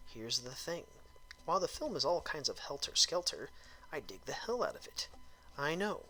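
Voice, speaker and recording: A man's voice: mid-range voice, pushed and bright, slightly rough, rough recording. A man giving a comedic, theatrical dramatic reading of a written review, with exaggerated emphasis and phrases that drop into breathiness. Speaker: performer reading out a film review.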